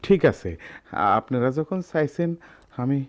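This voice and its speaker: An actor playing a humble, worried middle-aged goldsmith with a rural Bangladeshi accent, speaking hesitantly and deferentially in a radio play. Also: male voice, mid-range voice, lively intonation, unhurried, close to an everyday voice. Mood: worried, pleading. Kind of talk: Bengali radio play acting.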